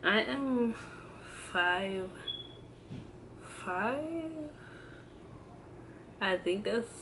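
A woman in her early twenties chatting casually to camera, answering a list of questions in a relaxed, hesitant way with many pauses and restarts. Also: medium pitch, background noise. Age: 20s. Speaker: vlogger. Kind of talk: casual tag video chat. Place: indoors at home, in front of a backdrop. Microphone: camera framed close, seated.

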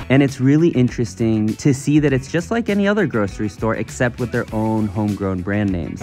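A man in his thirties, a travel vlogger, talking in an upbeat, curious, chatty way to a camera held at arm's length in a large indoor mall. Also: medium pitch. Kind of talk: travel vlog with voice-over narration. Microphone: action camera held at arm's length.

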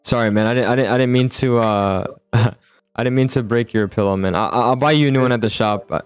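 A man's voice: mid-range voice, brisk, clean tone, no room sound. A man chatting casually in an improvised game roleplay, often stammering and repeating his words. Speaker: host of a roleplay gaming video. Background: music.

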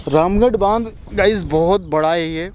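A young man vlogging outdoors in casual chat, excitable and often laughing, filmed on a handheld action camera. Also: high voice, moderate pace, a little hiss or hum. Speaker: moto vlogger. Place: outdoors on a windy hillside by a dam. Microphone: action camera held at arm's length.

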